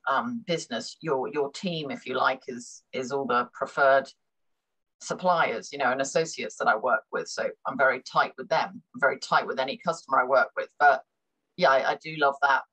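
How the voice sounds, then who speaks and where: Low-voiced middle-aged woman, hesitant. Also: normal speed, a bit bright, slightly rough, rough recording. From Hong Kong. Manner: thoughtful, many fillers and repeated words. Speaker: business consultant, guest. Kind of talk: conversational interview.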